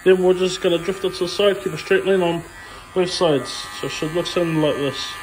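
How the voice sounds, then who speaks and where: A young male barber talks a viewer through a haircut step by step, relaxed and unpolished, with plenty of ums. His voice sits a little high for a man. Faint noise and the soft buzz of clippers hang in the background. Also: fairly quick. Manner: relaxed, plain instructions with frequent ums. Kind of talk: hands-on haircut tutorial.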